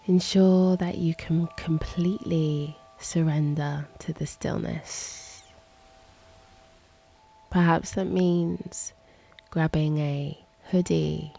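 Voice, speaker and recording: A woman with a low, mellow, creaky voice guides a meditation. She speaks in a soft hush, leaving long pauses and letting the ends of her phrases turn breathy. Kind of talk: guided meditation, slow and hushed.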